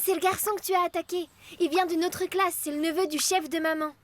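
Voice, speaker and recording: A woman's voice: extremely high pitch, steady tone, a little fast. A woman voicing a sensible, slightly bossy but caring big-sister schoolgirl in a cartoon. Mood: reasoning. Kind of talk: animated cartoon dubbing.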